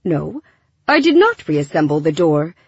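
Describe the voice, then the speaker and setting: A woman's voice: sits low, big pitch swings, unhurried, husky, no room sound, lo-fi sound, heavily acted. A woman voicing a literal-minded male robot in an audiobook, speaking in a stiff, precise, wordy way. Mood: earnest, formal.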